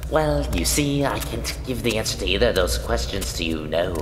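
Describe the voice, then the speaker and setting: A woman's voice: rumbling low, animated, normal speed. A woman acting a fussy, talkative, bookish cavern recluse in a radio play, with wordy, pompous phrasing. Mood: rambling, evasive. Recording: echoey, noisy, long reverb.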